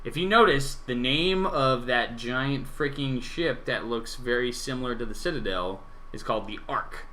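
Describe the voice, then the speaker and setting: Bright-voiced man in casual gaming chat. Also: mid-range voice, moderate pace, a little hiss or hum. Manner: animated explaining, casual swearing. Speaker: podcast host.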